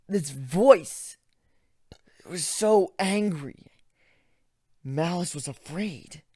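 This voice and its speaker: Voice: mid-range voice, no room sound, clean studio sound. A reader voicing an overwrought, frightened griffin. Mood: horrified. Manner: overwrought, halting, swinging from hushed to shouting. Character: Griffin, traumatized, frightened, furious griffin. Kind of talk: dramatic reading of fan fiction.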